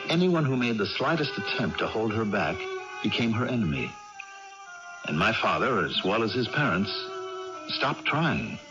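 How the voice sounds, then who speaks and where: A reflective male narrator with a fairly low voice, telling the story in measured, formal phrases. Loud music plays behind him on a dull old radio recording. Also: big pitch swings. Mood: musing. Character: reflective male storyteller recounting family history. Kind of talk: scripted radio drama narration.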